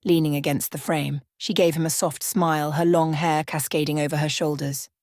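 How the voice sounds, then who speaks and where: A woman storyteller with a fairly low voice reads scripted fiction for bedtime ASMR. The recording is dry, with very little room around her words. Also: fairly even intonation, normal speed, a little mellow, no echo.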